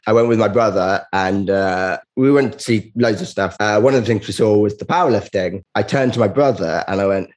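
A man's voice: lowish voice, brisk, few pauses, darkish tone. A young man chatting casually as a comedian guest, with a dry, joking way of talking. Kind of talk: casual comic chat.

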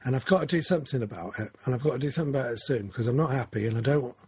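A man's voice: mid-range voice, racing, darkish tone, husky, dry recording. A man, talking through his goals in a casual, rambling, self-correcting chat. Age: 40s.

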